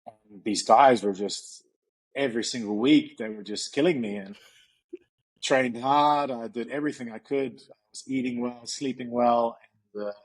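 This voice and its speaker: A man's voice: mid-range voice, slightly rough, poor audio. A man, a coach talking casually in an interview, rambling and reflective with many fillers. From New Zealand. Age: 30s. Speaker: guest, strength and conditioning coach.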